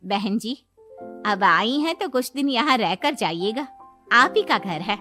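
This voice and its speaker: A woman's voice: on the high side, lively intonation, a little slow, pushed and bright. A woman playing a stern, matronly middle-aged mother-in-law who scolds in a dramatised story. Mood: welcoming. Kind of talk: dramatised moral story voice acting. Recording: background music under the voice.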